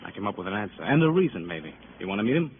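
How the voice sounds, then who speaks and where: An actor playing a wry, chatty police detective in a 1950s radio crime drama, with colourful, wordy delivery. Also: male voice, on the low side, very animated, racing. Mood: wry, musing. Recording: tinny, noisy old radio recording.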